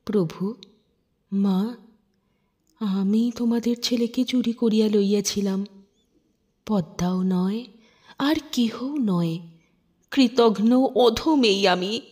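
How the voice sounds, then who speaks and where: A woman's voice: medium pitch, slow, pauses often, darkish tone, slightly rough. A woman voicing a humble old male servant from a storybook, pleading reverently and tearfully. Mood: remorseful, humble.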